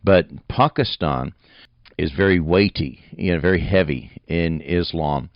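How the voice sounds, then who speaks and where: A man with a very low, deep voice hosts a religious radio talk show, rambling in a folksy way and leaning on fillers as he thinks aloud. The sound is close and dry, slightly dull and muffled. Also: measured pace, no room sound. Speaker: radio host. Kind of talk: radio interview.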